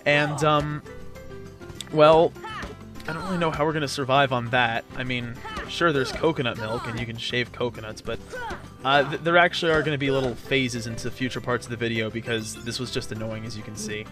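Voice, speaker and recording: A man's voice: medium pitch, fairly even intonation, brisk, over music. A man giving casual, chatty, joking gameplay commentary in a rambling way, as on a let's play.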